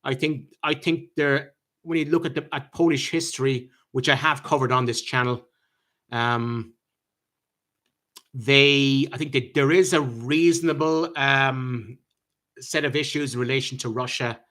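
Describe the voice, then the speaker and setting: An Irish man hosting a live-stream chat, answering viewers' questions in a halting way, with many ums and restarts. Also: mid-range voice, fairly even intonation, a bit bright. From Ireland.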